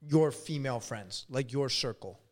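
A man in his thirties hosting a podcast, chatting casually and relaxed, with lots of "like" and some swearing. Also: medium pitch, normal speed. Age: 30s. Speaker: podcast host. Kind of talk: casual interview chat.

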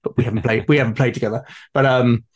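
Halting man with a mid-pitched voice. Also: fairly even intonation, husky. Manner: halting, repeats words while forming ideas. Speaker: conversation partner. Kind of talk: casual discussion of role-playing games.

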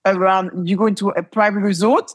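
Husky-voiced woman telling lively stories. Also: medium pitch, brisk. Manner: animated storytelling, laughs often, repeats words. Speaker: entrepreneur guest. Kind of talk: conversational interview.

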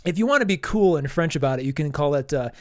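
A man's voice: on the high side, lively intonation, quick pace, no echo, studio quality. A man co-hosting a casual comics podcast, stuffy-nosed from allergies, talking in enthusiastic, rambling bursts. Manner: rambling, enthusiastic, many restarts. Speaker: podcast co-host reviewing comics.

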